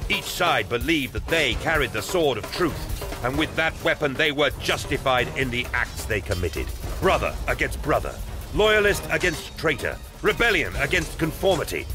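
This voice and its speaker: A man's voice: mid-range voice, sing-song, normal speed, over music. A man giving solemn, theatrical scripted narration for a war audio drama.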